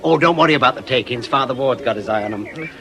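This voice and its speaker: A man's voice: medium pitch, fast talker, fairly bright tone, natural voice. An actor with a British accent playing a brusque, sardonic, middle-aged retired policeman in a radio drama. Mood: grumbling. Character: gruff, bitter retired policeman, brusque and proud.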